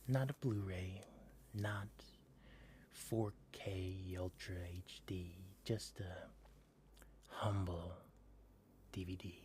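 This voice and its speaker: A man whispering softly as he chats with viewers on a late-night ASMR stream. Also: sits low, fairly even intonation, a little hiss or hum. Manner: soft whisper, slow and drawn out. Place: home at night.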